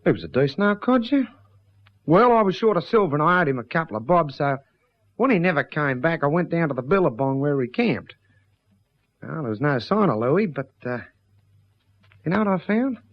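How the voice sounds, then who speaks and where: A man's voice: a little high, sing-song, normal speed, pauses often. An actor playing a good-natured Australian country man with a colloquial, confiding manner in a radio drama. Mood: uneasy. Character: rambling, good-natured Australian bush local. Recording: dull lo-fi radio recording.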